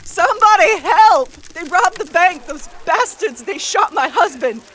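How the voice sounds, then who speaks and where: Very shrill distraught frontier woman. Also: expressive, measured pace, fairly bright tone, a little hiss or hum, heavily acted. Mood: frantic, distraught. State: shouting in distress. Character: distraught frontier wife crying for help. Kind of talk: western audio drama acting.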